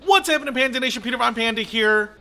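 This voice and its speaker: A man's voice: very high-pitched, lively intonation, quick pace, gravelly, sharp tone, faint music behind. A man presenting a product review in an upbeat, informal, colloquial way, talking through a hands-on demonstration. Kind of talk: casual product demonstration to camera.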